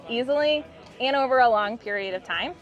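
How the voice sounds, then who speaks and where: A woman's voice: on the high side, expressive, fairly quick. A woman explains a product as a company representative, in an upbeat, informal, explanatory way. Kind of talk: explanatory product demo in an interview. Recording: muffled band-limited audio with music behind. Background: background music.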